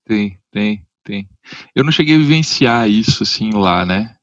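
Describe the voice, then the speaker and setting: A man speaking as a guest on a video call, measured and slightly hesitant. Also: on the low side, lively intonation, no echo, muffled recording. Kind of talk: casual video-call chat.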